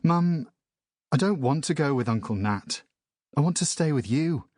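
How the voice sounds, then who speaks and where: Man acting young boy, reluctant, pleading, raised pitch, children's audiobook, close dry sound. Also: lively intonation, normal speed, darkish tone, no room sound. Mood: pleading, sulky. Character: anxious, reluctant young boy who dislikes trains. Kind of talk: audiobook character voice.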